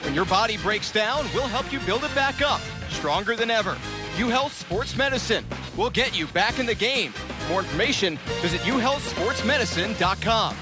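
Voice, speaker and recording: A man's voice: high-pitched, animated, moderate pace. A man announcing a televised football game in a polished broadcast delivery, with live commentary and sponsor reads. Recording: loud music behind, outdoor urban sound.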